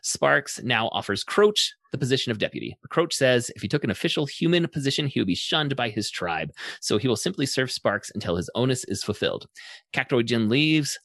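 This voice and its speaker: A man hosting a podcast, giving a conversational recap and commentary in an animated, enthusiastic way. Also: mid-range voice, fairly quick, no room sound. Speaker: podcast host summarizing episodes.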